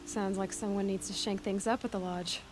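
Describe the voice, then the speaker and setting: An actress playing a curious, self-assured young huntress in a video game, speaking in an understated, conversational way. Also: female voice, mid-range voice, steady tone, a little fast, background noise, lightly acted. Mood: probing, dry. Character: curious, self-assured young female outlander machine hunter. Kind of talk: video game dialogue acting.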